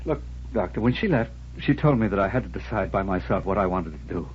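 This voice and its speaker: Troubled husband and doctor, low voice, hesitant, self-deprecating, old radio soap, dull hissy recording. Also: male voice, lively intonation, fast talker. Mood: anguished, hesitant. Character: troubled doctor, brooding, indecisive husband and would-be psychiatric patient. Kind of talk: 1940s radio drama acting.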